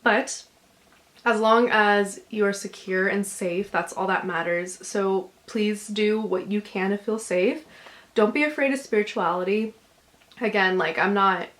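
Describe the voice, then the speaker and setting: Young woman host, chatty and soothing, rambles with lots of ums. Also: mid-range voice. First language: English. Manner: chatty, filler-heavy, gently reassuring. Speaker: channel host. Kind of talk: casual chat, reading subscriber stories.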